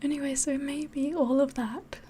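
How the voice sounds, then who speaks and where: A woman with a slightly mixed English accent whispers softly in a rambling, hesitant way, as if hosting an ASMR livestream chat. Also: sits high, fairly even intonation, unhurried, a little hiss or hum. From England. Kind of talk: soft-spoken ASMR chat, mostly whispered.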